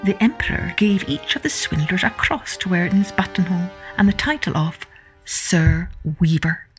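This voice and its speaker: Expressive woman telling a children's story. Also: fairly low pitch, moderate pace, soft background music, rough recording. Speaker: storytelling podcast host.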